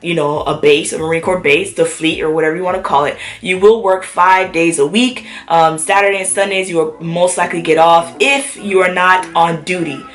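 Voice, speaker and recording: Sick woman chatting to her camera, lots of ums, kinda low voice, faint music. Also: a bit bright. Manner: chatty, many fillers. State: sick with a cough. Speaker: vlogger. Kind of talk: casual chat to camera.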